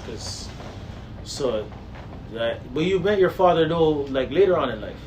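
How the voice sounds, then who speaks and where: A man from Jamaica hosting a casual discussion, talking in a relaxed, swearing way that turns loud and animated. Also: on the high side, measured pace, far from the mic, slight background noise, poor audio. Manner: animated, swearing, gets loud when worked up.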